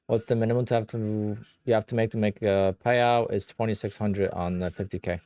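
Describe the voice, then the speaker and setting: A man's voice: fairly low pitch, little pitch movement, fairly quick, a little mellow, clean tone, no echo, clean studio sound. A man with a Polish accent, Polish his first language, hosting a casual livestream and answering viewer questions in a blunt, offhand way. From Poland. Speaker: livestream host.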